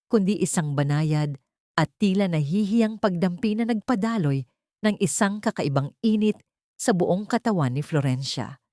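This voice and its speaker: Woman storyteller, soft dark tone, lowish pitch, measured sentimental delivery, scripted drama narration. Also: no room sound, clean studio sound. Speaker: narrator of audio drama stories.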